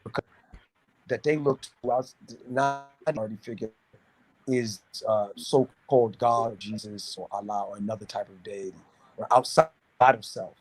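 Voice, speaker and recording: A man from New City, talking casually and giving opinions in a halting way, repeating words as he searches for phrasing. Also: fairly low pitch, fairly even intonation, a little hiss or hum, rough recording. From New York City, United States. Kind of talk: casual discussion, opinionated talk.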